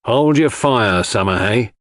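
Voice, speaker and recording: A man's voice: mid-range voice, animated, a little slow, dry recording, clean studio sound, natural voice. A man voicing a jovial, cocky police inspector with a breezy, jocular, colloquial way of talking.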